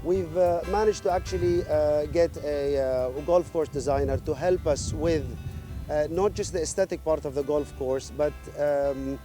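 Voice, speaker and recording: A man's voice: a little high, measured pace, mellow, soft background music. A man speaking for a golf club in an interview, hesitant and full of fillers and restarts.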